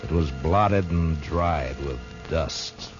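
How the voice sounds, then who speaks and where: An actor playing a tough, weary American US marshal in a 1950s radio western. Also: male voice, very deep voice, slow. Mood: grim, weary. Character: tough, weary US marshal narrating his frontier tale. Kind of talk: 1950s radio western acting, hard-boiled narration. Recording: dull vintage radio recording with music.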